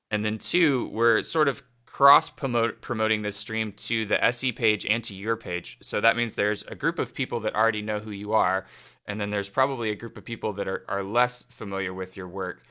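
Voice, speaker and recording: A man's voice: lowish voice, sharp tone. A man hosting a casual livestream interview, asking long, rambling, eager questions. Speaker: livestream host.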